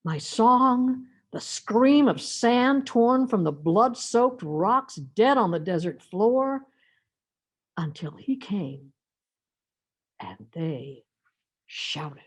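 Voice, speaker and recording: Woman's slow, dramatically swinging reading. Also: medium pitch, sing-song. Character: Mary Magdalene, anguished, defiant former prostitute. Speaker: reader of a church meditation. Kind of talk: dramatic first-person monologue reading.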